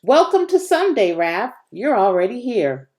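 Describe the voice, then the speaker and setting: A woman voicing a sharp, teasing, sardonic young girl in a children's storybook reading. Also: fairly high pitch, big pitch swings, moderate pace. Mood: challenging, teasing.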